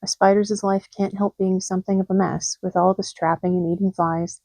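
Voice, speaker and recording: Gentle dying spider, wise and soft-spoken, read by a woman narrator, dry close sound. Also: medium pitch, flat delivery, brisk, no echo, close to an everyday voice, low-quality recording.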